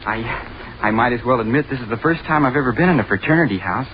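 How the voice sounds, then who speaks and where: An actor playing an intense, awkward young college bookworm, polite and halting, in 1930s radio drama style. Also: male voice, medium pitch, lively intonation, natural voice. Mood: polite, nervous. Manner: polite, halting, repeats himself. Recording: noisy, muffled 1930s radio recording.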